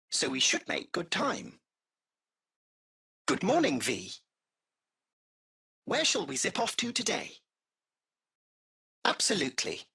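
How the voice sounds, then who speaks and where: Very animated, raspy British AI chauffeur. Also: male voice, a little high, full character voice. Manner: polished, effusive, butler-like chattiness. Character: urbane, chatty male AI taxi driver, butler-like and cheeky. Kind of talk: video game voice acting.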